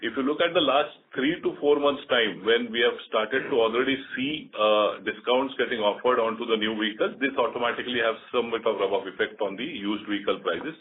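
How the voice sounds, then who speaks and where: A man's voice: medium pitch, brisk, hardly any pauses. A man with an Indian accent, a company executive, giving an unscripted explanation on an earnings call. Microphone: telephone conference call. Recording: noisy phone line with clicks.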